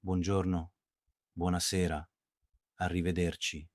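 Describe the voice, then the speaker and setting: A man with an Italian accent teaching a beginner language lesson in a calm, measured, patient way, speaking slowly and clearly. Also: low voice, dry recording, studio quality. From Italy.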